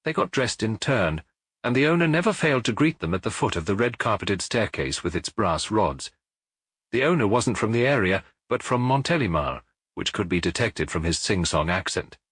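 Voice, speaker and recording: A man reading a detective novel aloud in a measured, expressive storytelling manner on a close studio mic. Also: lowish voice, breathy edge, dry recording. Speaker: audiobook reader. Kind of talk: scripted narration of a detective novel.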